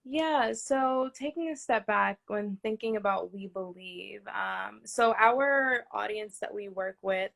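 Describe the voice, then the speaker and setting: A woman's voice: mid-range voice, takes it slowly, vocal fry, few pauses, fairly bright tone. A woman entrepreneur and nonprofit founder answering interview questions earnestly, with frequent "um" fillers and "right?" tags.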